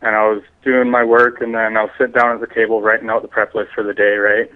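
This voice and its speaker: A man's voice: fairly low pitch, little pitch movement, quick pace. A man answering questions over the telephone in a defensive, insistent manner. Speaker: prank call target. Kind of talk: answering questions on a phone call. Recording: muffled, narrow phone line.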